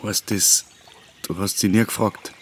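A man's voice: on the low side, level tone, a little slow, a little hiss or hum. An actor playing a curious, stubborn village man in a radio drama, with a colloquial Bavarian way of speaking. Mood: probing. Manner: questioning, colloquial.

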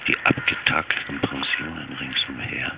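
An actor playing a gloomy man who dictates bleak, deliberate notes in a radio drama, with a gravelly voice. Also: rumbling low, steady tone, very noisy. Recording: loud water and outdoor ambience.

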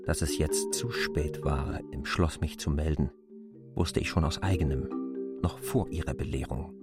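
An actor playing a composed male traveler, a land surveyor, in a measured, matter-of-fact radio drama delivery. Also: rumbling low. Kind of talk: German radio drama acting. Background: mallet percussion music.

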